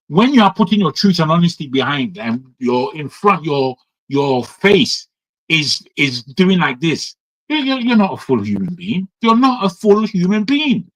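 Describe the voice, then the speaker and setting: A man holds forth on a talk show in a voice sitting a little high for a man. He talks emphatically, circling back and repeating words as he restarts his sentences. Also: low-quality recording. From Nigeria. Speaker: panel commentator. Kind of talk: opinionated talk-show commentary.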